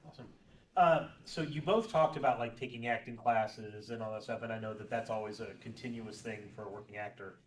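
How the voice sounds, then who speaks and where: A man's voice: on the low side, brisk. A man moderating a convention panel, putting long, rambling questions to the guests. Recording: echoey hall, distant mic, faint noise.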